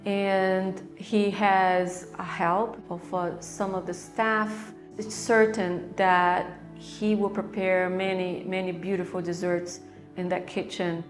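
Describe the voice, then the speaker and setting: Halting Brazilian-born woman artist, flat. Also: on the low side, faint music behind. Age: middle-aged. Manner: halting, searching for words, repeats words. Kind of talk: documentary interview.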